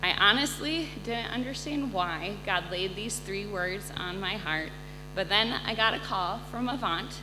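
A woman's voice: mid-range voice, measured pace. Earnest woman testifying in a humming, echoey hall. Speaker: missionary and incoming resident assistant. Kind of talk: personal testimony to a live audience. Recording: echoey hall, loud electrical hum.

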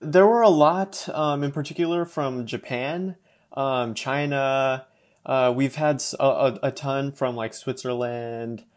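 A man in his twenties from Los Angeles talks in a halting, casual way, full of fillers. He laughs easily as he chats. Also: medium pitch. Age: 20s. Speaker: podcast guest. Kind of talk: casual conversation.

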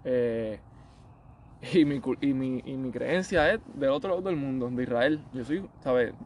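A man with a Puerto Rican accent speaks at a medium pitch over faint ambient noise. He argues in a casual, conversational way, often checking that the listener follows him. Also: measured pace, darkish tone. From Puerto Rico. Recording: clear background ambient noise.

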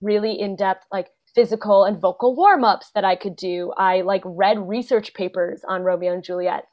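A young adult woman, a guest actor and playwright, chatting casually in an interview, full of fillers and quick laughs. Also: mid-range voice, steady tone. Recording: dull lo-fi podcast audio.

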